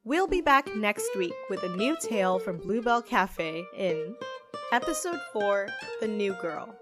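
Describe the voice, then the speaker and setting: Female podcast announcer, mid-pitched scripted credits read, clear guitar backing. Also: expressive, a little slow. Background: plucked guitar music.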